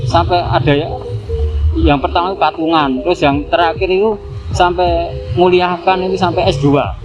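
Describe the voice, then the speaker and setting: A man's voice: high voice, steady tone, moderate pace, lo-fi sound. A man, giving earnest interview answers in casual speech and repeating his phrases. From Tuban, East Java, Indonesia. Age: 50s. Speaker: street food vendor being interviewed. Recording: music behind the voice.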